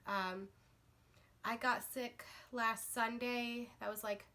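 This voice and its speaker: A woman's voice: a little high, steady tone, slow pace, bright, forceful tone. A woman book vlogger getting over a cold, chatting to camera in a rambling way with lots of fillers and restarts. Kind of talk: casual chat to camera.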